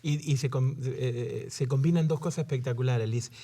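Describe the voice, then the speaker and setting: A man's voice: mid-range voice, moderate pace, warm tone. A man who co-hosts a Bible discussion, reads scripture aloud and cracks jokes. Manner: joking, uses voseo, reads scripture aloud. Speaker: co-host of a Bible study show.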